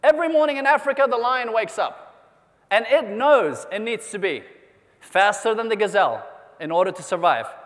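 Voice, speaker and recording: High-pitched guy from new zealand pumping up a convention crowd, big echoey hall. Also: normal speed. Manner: energetic, rallies the crowd, asks questions and calls for applause. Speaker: motivational speaker. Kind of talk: keynote speech to a convention audience. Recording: long hall reverb.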